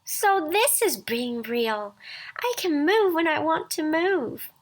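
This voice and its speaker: A woman voicing a gentle, wistful, wondering old toy rabbit in a children's storybook reading. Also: high voice, lively intonation, takes it slowly, voice pushed up.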